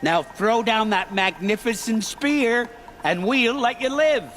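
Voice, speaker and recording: A man's voice: high voice, slow pace, fairly bright tone, a little hiss or hum. An actor playing a sly, ingratiating middle-aged trader in a video game, with theatrical salesman's patter. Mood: gloating, threatening. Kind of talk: video game dialogue acting.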